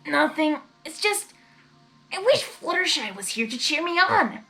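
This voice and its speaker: A woman plays a sporty young pony mare from a storybook. The voice is high and very animated, with a blunt, excitable, brash manner. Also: a little slow, gravelly, faint music behind, heavily acted. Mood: wistful.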